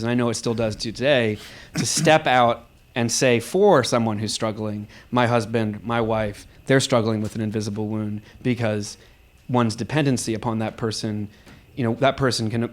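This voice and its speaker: Brief: male moderator for a live audience talkback, mid-pitched, halting as he gathers thoughts. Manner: halting, repeats words as he forms thoughts. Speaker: host, panel discussion moderator. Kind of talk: moderating an audience discussion after a performance. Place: live event venue, before an audience.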